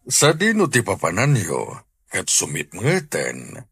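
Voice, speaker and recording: A genial older boss with an Ilocano accent. His voice is deep, gravelly and very husky, and he takes his time in a radio comedy drama. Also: huge pitch swings, slow pace, a bit bright, heavily acted. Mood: genial, generous.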